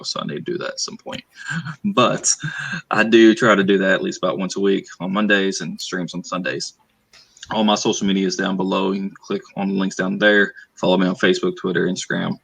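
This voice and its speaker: A man from Arkansas with a soft Southern accent, talking in a low voice with a gravelly fry. He rambles easily through channel plugs with lots of fillers, like a casual livestream over a home mic. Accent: Southern US, northeast Arkansas. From Arkansas, USA. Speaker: author and channel host.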